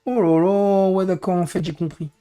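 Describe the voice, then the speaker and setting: A hoarse man who is losing his voice, grumbling in exasperation as he comments live on his racing game stream. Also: high voice, steady tone, normal speed, mellow. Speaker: streamer and racing league head.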